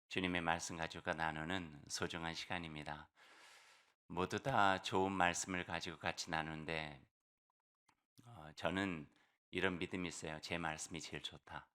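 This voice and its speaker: A man preaching in a very low voice that still has a bright, forceful edge. He is hoarse from a cold. He talks to the congregation in an easygoing way, slowing down on key phrases. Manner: warm conversational preaching with fillers and slow emphatic phrases. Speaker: pastor. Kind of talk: sermon.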